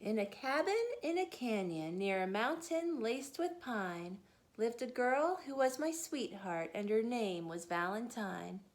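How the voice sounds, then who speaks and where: A woman in her thirties reading picture books aloud to children, expressive and sing-song, and a little tired. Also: a little high, a little slow. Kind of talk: picture-book read-aloud.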